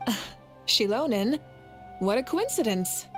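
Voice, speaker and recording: Poised, high-voiced game heroine. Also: female voice, very animated, measured pace, soft background music. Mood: composed. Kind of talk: video game voice acting.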